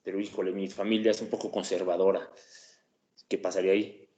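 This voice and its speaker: Low-voiced teacher in an echoey room. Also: male voice, little pitch movement, brisk, dark tone, dull audio. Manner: conversational, checks in with frequent tag questions and fillers. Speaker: teacher presenting a class topic. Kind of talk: explanatory lecture on education.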